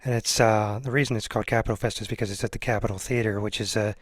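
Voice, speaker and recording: A man's voice: lowish voice, steady tone, racing, no room sound. An American man hosting a casual interview, chatting conversationally as he asks questions. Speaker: interviewer, podcast host.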